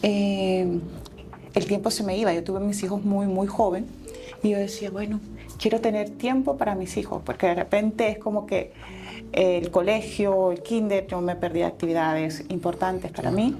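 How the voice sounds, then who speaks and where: A woman's voice: medium pitch, steady tone, moderate pace, warm tone, soft background music. A Salvadoran woman in her forties, a guest entrepreneur telling her life story in an interview, earnest and reflective, with fillers and self-corrections.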